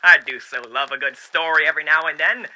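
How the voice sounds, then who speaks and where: A man's voice: fairly high pitch, steady tone, brisk, pushed and bright, low-quality recording. A man voicing a genteel, eerily cheery demon radio host with showy, mannered delivery, in the style of 1930s radio acting. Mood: cheerful, unsettling.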